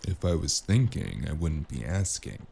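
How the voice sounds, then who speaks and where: A male actor playing a cynical, wisecracking investigator in a noir audio drama, with a gravelly voice and a dry, menacing delivery. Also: very deep voice, very animated, normal speed, warm tone, slight background noise, heavily acted. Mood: sardonic. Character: cynical, wisecracking hardboiled spacer investigator with magic. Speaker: voice actor playing the lead and narrator.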